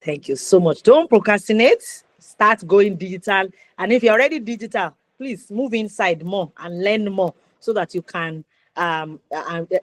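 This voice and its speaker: A woman's voice: on the low side, no echo. A woman with a Nigerian accent hosting a conversational interview show, encouraging and emphatic, repeating points for stress. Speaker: talk show host.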